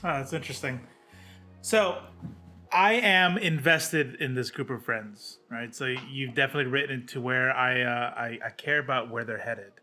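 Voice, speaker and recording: A man's voice: medium pitch, moderate pace, pushed and bright, soft background music. A man hosting a podcast interview, asking questions in a casual, chatty style. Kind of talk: casual chat.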